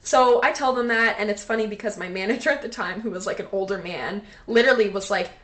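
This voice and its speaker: Animated young woman telling a dating story. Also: quick pace. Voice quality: lower-pitched, not high voice. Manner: animated, swearing, acts out quoted voices. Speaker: podcast co-host. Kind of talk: casual storytelling chat.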